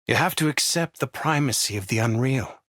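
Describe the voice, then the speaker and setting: Male actor as sinister, coaxing male doctor for horror game; husky voice, dry sound, eerie reassurance. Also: mid-range voice, fairly quick, a bit bright, no echo, close to an everyday voice. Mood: coaxing, reassuring. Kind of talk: scripted game voice lines.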